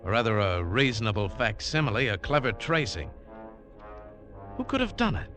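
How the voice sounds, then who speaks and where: A man's voice: fairly low pitch, big pitch swings, moderate pace, fairly bright tone. A man playing a tough, wary American adventurer who narrates his treasure hunt in clipped, hardboiled 1940s radio style. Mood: intense, brooding. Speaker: lead actor and narrator. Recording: old 1940s radio broadcast, music behind.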